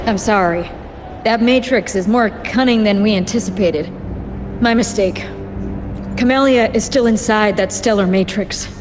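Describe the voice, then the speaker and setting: A woman playing a composed, knowledgeable guide in a video game, explaining things calmly and precisely. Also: mid-range voice, natural voice. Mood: apologetic, composed. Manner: calm, explanatory. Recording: background music under the voice.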